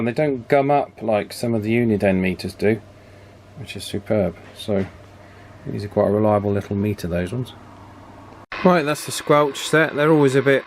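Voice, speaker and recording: A man giving a casual, chatty hands-on repair commentary in an unhurried way, as if thinking aloud. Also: lowish voice, gravelly, darkish tone. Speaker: radio repair hobbyist presenting his work.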